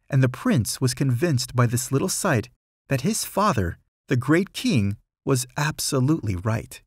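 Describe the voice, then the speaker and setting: A man reading a rhyming children's story aloud, in a measured, rhythmic storytelling way with clear emphasis. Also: mid-range voice, dry recording, studio quality. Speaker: storybook reader.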